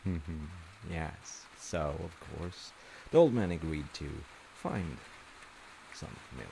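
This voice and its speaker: A man with a low, creaky voice tells a story at a slow, unhurried pace. He is conversational and wry, drifting into little asides as if telling a bedtime tale. Rain and hiss sit clearly behind him. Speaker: storyteller in an audio roleplay, playing a dad. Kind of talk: casual bedtime folktale storytelling. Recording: hissy recording with rain noise.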